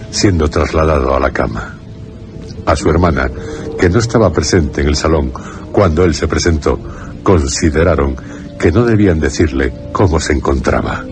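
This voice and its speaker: A man reading scripted horror narration for a radio drama in a solemn, measured way. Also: very deep voice. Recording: radio drama with music behind.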